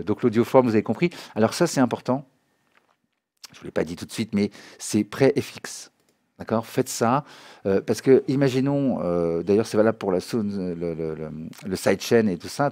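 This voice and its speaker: A man giving an informal spoken tutorial as a music software trainer, explaining as he demonstrates and often checking that listeners follow. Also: on the low side. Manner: conversational, checks understanding often with short tag questions. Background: music and synth demo sounds.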